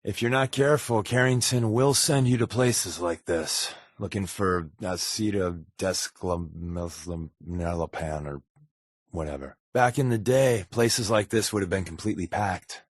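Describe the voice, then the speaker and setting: An American man playing a wisecracking, sly undercover agent in a video game, talking in casual quips with a deadpan, sardonic manner. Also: low voice, a little slow, no room sound, studio quality. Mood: musing, deadpan. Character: wisecracking, sly adult male undercover agent, habitual fibber. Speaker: companion character in a video game.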